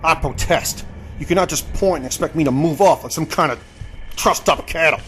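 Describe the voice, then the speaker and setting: A man's voice: a little high, brisk, slight background noise, natural voice. An actor playing a proud, indignant Southern crossbowman of the 1870s in an audio drama, with formal, theatrical speech. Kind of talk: audio drama with first-person narration.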